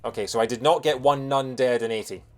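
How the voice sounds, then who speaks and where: A man from Lanarkshire with a soft Scottish accent, doing casual, jokey live gameplay commentary as a streamer. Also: mid-range voice, fast talker. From Bothwell, Lanarkshire, Scotland. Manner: jokey, punning, animated when reacting to the game. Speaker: video game streamer. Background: game music.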